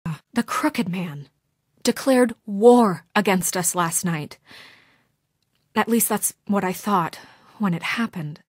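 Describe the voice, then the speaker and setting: A woman's voice: medium pitch, takes it slowly, pauses often, no room sound, clean studio sound. A woman playing a principled young deputy mayor in a story video game, speaking with earnest, firm exasperation in naturalistic dramatic dialogue. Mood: grave, intent.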